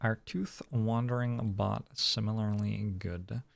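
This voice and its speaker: A man's voice: fairly low pitch, fairly even intonation, takes it slowly, creaky. A man from New Zealand giving casual live gameplay commentary as a streamer, musing and chatting with viewers in a dry, rambling way. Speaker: video game streamer.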